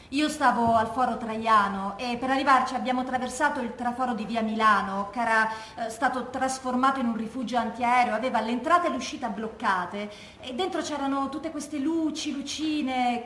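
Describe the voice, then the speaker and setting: A woman's voice: fairly high pitch, a bit bright, close to an everyday voice. An actress playing a frank, wry former partisan woman recounting wartime exploits in a stage monologue. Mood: recollecting. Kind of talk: stage monologue from oral testimony. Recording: roomy, echoey stage recording with noise.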